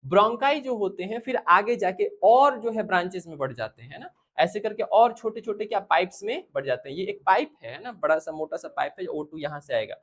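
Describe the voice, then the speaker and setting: Indian science teacher doing a class lecture, mid pitch, keeps asking stuff then answering it, lots of little check-ins. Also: expressive, fairly quick, hardly any pauses, slightly rough. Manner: repeats questions and answers them, frequent check-in tags. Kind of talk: classroom lecture to school students.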